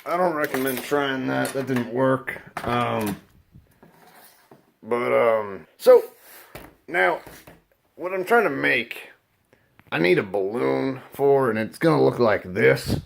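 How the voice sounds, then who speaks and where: A man giving a casual, improvised how-to demonstration as a video host, halting and rambling and unsure of himself. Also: medium pitch, lively intonation, vocal fry, a little hiss or hum.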